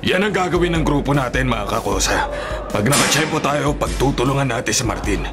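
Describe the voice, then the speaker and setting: A man's voice: medium pitch, lively intonation, fairly quick, lightly acted. A man playing a vengeful, hot-headed prison gang leader in a Filipino radio drama, with a menacing delivery. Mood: brooding, plotting. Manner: menacing, threatening. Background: loud dramatic music.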